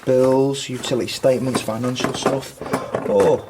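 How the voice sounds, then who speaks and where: A woman's voice: a bass voice, fairly even intonation, normal speed. A woman playing a businesslike British character, terse, dry and matter-of-fact, in a naturalistic radio drama. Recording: noticeable noise with clicks behind.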